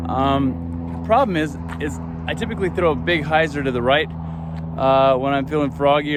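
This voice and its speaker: A man vlogging outdoors on a desert course, thinking aloud in a relaxed way as he walks between shots. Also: medium pitch, noisy background. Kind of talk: casual walk-and-talk commentary. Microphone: handheld phone and gimbal camera.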